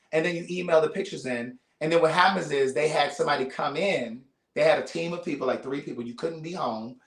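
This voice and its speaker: A man hosting a casual chat, telling stories in an animated, playful, rambling way. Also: a little high. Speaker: host. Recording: echoey room.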